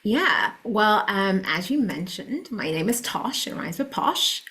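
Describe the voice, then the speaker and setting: A woman's voice: mid-range voice, very animated, slow pace, sharp tone. A woman with a London accent, a podcast guest talking in an earnest, motivational way. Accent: London English. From London, England. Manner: earnest and motivational, with a few laughs. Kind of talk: casual interview chat.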